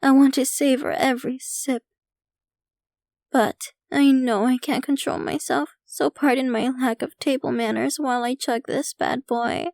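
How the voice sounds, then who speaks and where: Actress as possessive vampire girlfriend for ASMR roleplay: slow, high female voice, theatrical and devoted. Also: steady tone, creaky, a little mellow, no echo, clean studio sound. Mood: delighted, gushing. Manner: theatrical, doting, gushing. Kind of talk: ASMR roleplay, scripted intimate monologue to listener.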